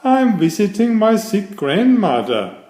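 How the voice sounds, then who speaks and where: A man's voice: high-pitched, sing-song, measured pace, mellow, echoey room, pitched above the performer's own voice. A man voicing an innocent, polite little fairytale girl in a wide-eyed, earnest manner. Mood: trusting.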